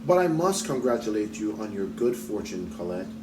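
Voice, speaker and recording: A man's voice: lowish voice, sing-song, normal speed, distant mic, background noise. A man voicing a proud, determined storybook mother who speaks politely but pointedly. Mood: politely prying. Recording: roomy echo, off mic, music behind.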